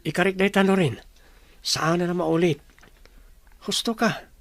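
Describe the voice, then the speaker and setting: A woman's voice: fairly low pitch, measured pace, dry recording, voice pushed down. A woman voicing an apologetic man in an audio drama, with a subdued, conciliatory manner. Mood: contrite. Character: apologetic, contrite man making amends. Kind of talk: Ilocano audio drama acting.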